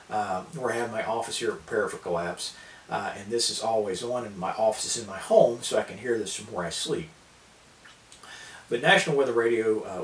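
An American man in his thirties giving an informal explainer to camera, with a halting delivery full of pauses. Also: mid-range voice, a bit bright. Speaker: preparedness video host. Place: small indoor room at a table. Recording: roomy, distant mic, hissy background.

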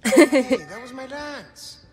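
An actor playing a roguish, charming Spanish adventurer with a Spanish accent and a playful, theatrical manner in a video game. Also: male voice, very high voice, takes it slowly. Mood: playful bravado. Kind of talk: video game cutscene acting. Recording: game music behind the voice.

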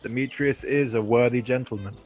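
A man's voice: medium pitch, steady tone, brisk, a little mellow, faint music behind, natural voice. A man acting a noble, commanding duke in a Shakespearean radio play, stately and declamatory. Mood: grave, advising.

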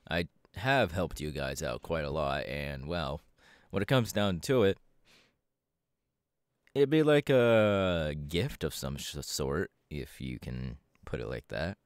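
Casting: male actor for cocky, easygoing young winged hero, low register, very animated intonation, slangy and hesitant, audio roleplay. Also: smooth, no room sound, studio quality, exaggerated voice. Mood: musing, easygoing. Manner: casual, hesitant pauses, slangy. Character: laid-back, cocky young male winged superhero and model. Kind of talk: ASMR audio roleplay, casual conversational acting.

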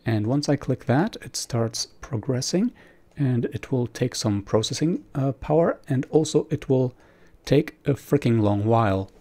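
A man's voice: mid-range voice, lively intonation, unhurried, warm tone. A man presenting a screen-recorded tutorial, explaining each step informally.

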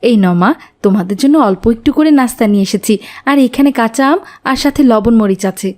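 A woman voicing a warm-hearted, hospitable village mother in a cartoon, with a motherly manner. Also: a little high, expressive, fast, natural voice. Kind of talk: cartoon dialogue.

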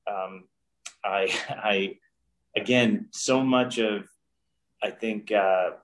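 A middle-aged man speaks in a lowish, unhurried voice, reflecting earnestly and often restarting his sentences. He is talking from a room at home over a webcam call. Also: steady tone, rough recording. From New York. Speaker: guest director. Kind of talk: casual interview. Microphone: video call webcam.

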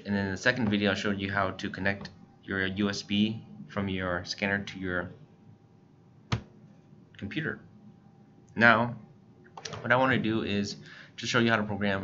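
An American man giving a casual, plain-spoken step-by-step tutorial as he presents software on screen. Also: on the low side, a little hiss or hum. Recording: dull lo-fi voice-over recording.

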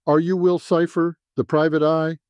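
A man's voice: a little high, little pitch movement, moderate pace, darkish tone, dry recording, lo-fi sound, voice pushed up, natural voice. A man voicing a composed, businesslike woman executive in an audio science fiction story. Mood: businesslike, insistent. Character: sharply dressed, composed professional woman, tech executive.

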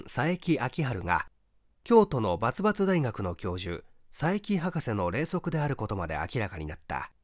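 A male narrator reads literary mystery fiction with dramatic, measured phrasing. His medium-pitched voice moves widely up and down. The recording is dry, with hardly any room sound. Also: dry recording. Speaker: audiobook narrator, stage actor. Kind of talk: scripted narration of a mystery short story.

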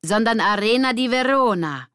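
A woman voicing a brisk, bossy schoolgirl with a hurried, sharp-tongued manner in a children's audiobook. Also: on the high side, animated, clear, resonant tone, no room sound, studio quality, voice pushed up. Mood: correcting.

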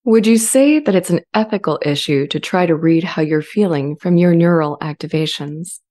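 A woman playing an earnest, gentle artificial intelligence in a dramatized transcript, speaking in a measured, deliberate way. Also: lowish voice, lightly acted. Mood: calm, inquiring. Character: earnest, gentle female-voiced sentient AI language model. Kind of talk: scripted dramatized transcript reading. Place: indoor home studio. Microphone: large studio condenser with pop filter, close.

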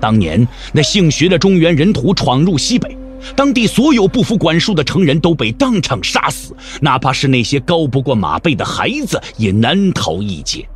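Male narrator for martial-arts audio drama: very animated intonation, somewhat high pitch, scripted and dramatic. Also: normal speed, faint music behind.

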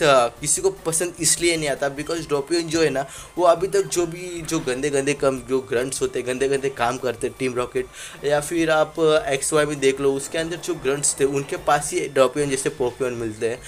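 A young man casually commentating a handheld game, rambling with frequent fillers and English words mixed in, recorded on a phone. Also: medium pitch. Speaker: gaming channel host. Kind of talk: casual Let's Play commentary.

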